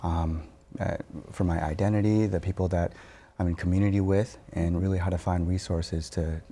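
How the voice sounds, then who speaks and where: A man, a therapist and author, speaking softly and reflectively as a TV interview guest, pausing often with fillers. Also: deep voice, steady tone. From born in Korea, raised in Wisconsin. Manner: soft-spoken, reflective, halting with fillers.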